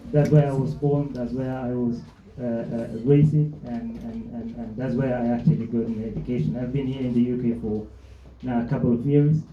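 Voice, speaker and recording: A man speaking earnestly at a community event, with plenty of hesitant pauses. His voice sits at a middle pitch. The room is reverberant and the sound is a little dull and lo-fi. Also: steady tone, a little hiss or hum. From Somalia. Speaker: panel speaker, humanitarian activist. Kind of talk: panel talk. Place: event hall with audience. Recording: echoey hall, dull lo-fi.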